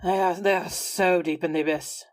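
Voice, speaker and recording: A woman's voice: fairly low pitch, fairly even intonation, moderate pace, no room sound, lightly acted. A woman playing a teasing, smugly certain friend with a matter-of-fact, knowing manner in a tabletop game.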